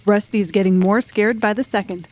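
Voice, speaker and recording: A woman's voice: medium pitch, steady tone, normal speed. A woman narrating a dramatic audiobook story from a script.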